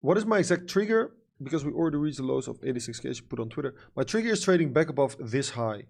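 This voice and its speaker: Gravelly Dutch-accented man streaming casually. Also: medium pitch, expressive, fast, darkish tone. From Netherlands. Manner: frequent fillers like "you know" and "like", blunt and profane. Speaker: livestream trader. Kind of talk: casual livestream chat reading comments.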